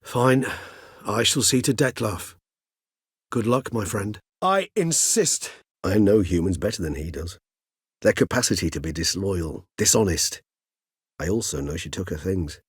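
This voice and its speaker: A middle-aged gentleman vampire from a fantasy video game. His voice is deep and notably husky, recorded very dry and close. He speaks with erudite, old-fashioned courtesy, gentle and philosophical, choosing each phrase with measured care. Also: animated, dry recording, exaggerated voice. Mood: uneasy, sincere. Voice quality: husky, low. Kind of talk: video game character dialogue.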